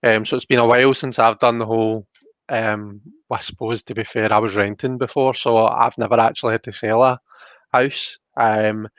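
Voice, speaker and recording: A man's voice: fairly low pitch, little pitch movement, normal speed, a bit bright, no echo, muffled recording. A man hosting a casual livestreamed tarot reading, explaining things in an informal, hesitant, chatty way. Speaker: host.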